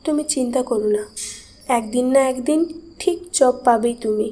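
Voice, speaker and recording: High-pitched, soft-toned caring young wife voiced by a woman. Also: normal speed, close to an everyday voice. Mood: soothing. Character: caring, reassuring young wife of a worried man. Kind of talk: Bengali horror cartoon voice acting.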